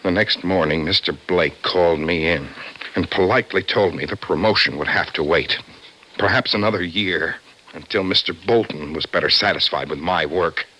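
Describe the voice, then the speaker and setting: A man's voice: low voice, normal speed, sharp tone. An actor playing an anxious, earnest office man haunted by his dreams, in old-time radio drama style. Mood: uneasy, subdued. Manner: earnest, brooding. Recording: noisy vintage radio recording.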